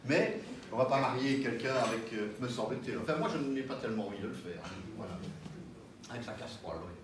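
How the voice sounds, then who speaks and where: A man giving a public talk and answering audience questions, lively and joking, with frequent laughs. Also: medium pitch, quick pace. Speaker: pastafarian minister giving a talk. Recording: echoey hall with noise, mic far off.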